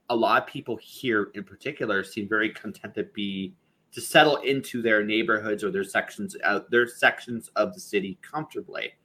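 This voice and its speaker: A man's voice: lowish voice, pushed and bright. A man hosting a podcast over a video call, talking casually and rambling, often restarting his sentences. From Ontario, Canada. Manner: rambling, lots of restarts and repeated words. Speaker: podcast host. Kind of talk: casual conversation.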